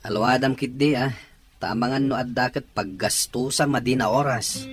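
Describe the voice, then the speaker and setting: A man's voice: medium pitch, steady tone, moderate pace, natural voice. A man voicing a married man who banters casually while out drinking with friends. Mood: musing. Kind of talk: amateur audio drama acting. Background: faint music.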